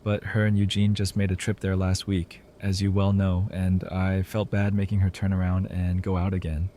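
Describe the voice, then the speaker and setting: Man acting an easygoing, low-voiced survivor. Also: completely flat delivery, normal speed, mellow, a little hiss or hum, lightly acted. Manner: casual, wry. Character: easygoing, curious, friendly male survivor. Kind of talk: audio drama acting.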